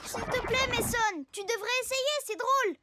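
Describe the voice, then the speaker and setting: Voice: very high-pitched, moderate pace. An actor voicing a caring, eager young child superhero in a French children's cartoon dub, earnest and encouraging. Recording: loud cartoon music behind the voice.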